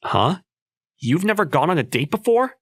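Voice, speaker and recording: A man's voice: sits high, big pitch swings, normal speed, a little hiss or hum. A young man playing a nervous, teasing student in love in a manga dub, talking in a conversational, slightly awkward way. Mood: nervous, relieved. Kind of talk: manga dub acting with first-person narration.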